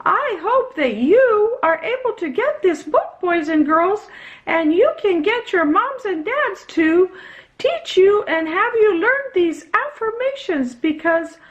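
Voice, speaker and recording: A woman voicing an excited, childlike puppet character who gushes to children on a kids' show, in slightly muffled audio. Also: very high voice, slow pace, heavily acted. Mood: eager, delighted. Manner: gushing, addressing children.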